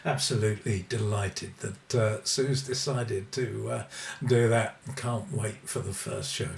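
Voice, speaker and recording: A man's voice: lowish voice, steady tone, unhurried, vocal fry, far from the mic. A man hosting a music radio show, reading out record details and dedications in an informal, somewhat hesitant way. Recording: roomy echo, music playing behind.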